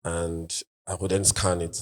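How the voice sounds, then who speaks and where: Nigerian male artist, 40s, Igbo first language; deep, low register, reflective, hesitant delivery full of restarts. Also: little pitch movement, slight background noise. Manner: thoughtful, halting, with many fillers and restarts. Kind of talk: conversational panel answers.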